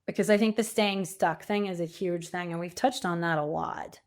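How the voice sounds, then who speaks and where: A woman in her fifties answering as an interview guest, talking conversationally in an animated, encouraging and emphatic way. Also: lowish voice, normal speed. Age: 50s. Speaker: podcast guest, coach and speaker.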